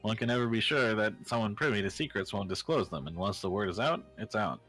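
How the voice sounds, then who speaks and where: A pompous great detective, acted out by a man, low and bright in voice. He is grandiose and boastful, speaking formally in a video game dub over faint music. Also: animated, fast talker. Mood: self-assured lecturing. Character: upbeat, theatrical, boastful male great detective.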